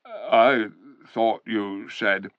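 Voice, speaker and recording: A man voicing a slow, puzzled, grumbling male bear in a storybook reading. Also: lowish voice, a bit bright, no room sound. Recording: dull lo-fi recording.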